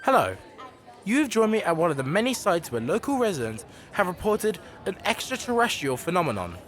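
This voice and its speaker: A man's voice: sits high, very animated, brisk. A young man playing an eager on-scene reporter, speaking formally in a scripted news drama. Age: young adult. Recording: outdoor scene with crowd behind.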